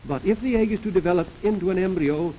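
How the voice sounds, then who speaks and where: A man giving formal, measured, scripted narration in the manner of a 1930s educational science film, lecturing as the narrator. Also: high-pitched. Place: recorded voice-over for a film. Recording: dull, noisy 1930s film soundtrack.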